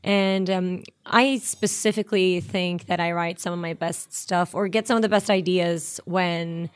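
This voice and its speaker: A woman musician from Norway, a guest on a podcast, talking in an animated, casual way with many "like"s, in a small side room of a restaurant. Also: on the low side, measured pace, smooth, no room sound, studio quality. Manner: animated, laughing, lots of "like". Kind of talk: casual interview chat.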